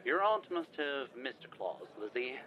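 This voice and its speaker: A man's voice: mid-range voice, big pitch swings, moderate pace, lo-fi sound, lightly acted. An actor playing a polite, flustered older male scientist in an audio drama, speaking formally with hesitant, wry phrasing. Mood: resigned. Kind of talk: audio drama phone-call acting.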